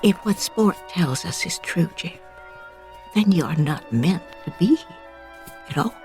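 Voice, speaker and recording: An older woman playing a kindly, wise old aunt figure in a classic television drama, with gentle, deliberate, formal phrasing. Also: on the low side, expressive, faint music behind. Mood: gently persuasive.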